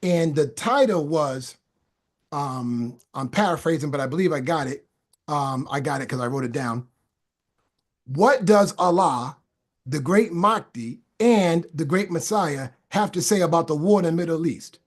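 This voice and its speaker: High-voiced man preaching fervently. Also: unhurried. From Boston, USA. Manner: stammering restarts, repeats phrases for emphasis. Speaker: podcast guest. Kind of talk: casual talk building into fervent preaching.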